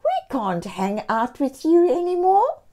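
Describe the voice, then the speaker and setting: A woman's voice: high voice, huge pitch swings, unhurried, mellow, voice pushed up, full character voice. A woman voicing blunt little kitties from a children's storybook, speaking emphatically. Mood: reproachful. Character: group of blunt storybook kitties scolding a friend.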